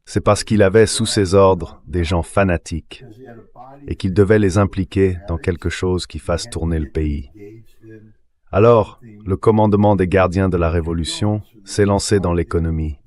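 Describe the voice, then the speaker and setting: Very deep-voiced man giving political analysis. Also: fairly even intonation, moderate pace, a little mellow. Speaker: interview guest, political commentator. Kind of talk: translated voice-over of political analysis.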